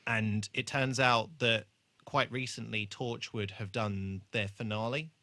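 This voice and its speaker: A man's voice: on the low side, fairly even intonation, a little slow, bright, forceful tone. A man co-hosting a podcast, chatting casually about television in a hesitant, meandering way. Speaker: podcast co-host. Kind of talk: casual chat about a TV show.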